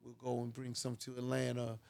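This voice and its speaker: A man's voice: mid-range voice, monotone, a little fast, gravelly, a little mellow. A man hosting a podcast in a studio, chatting casually with lots of "you know" asides. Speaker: podcast host.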